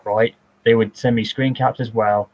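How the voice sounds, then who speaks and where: A man's voice: steady tone, normal speed, a little mellow. A man in his thirties giving casual commentary on his own film over an online call, rambling and talking about his distinctive voice. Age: 30s. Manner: rambling, self-described distinctive high voice.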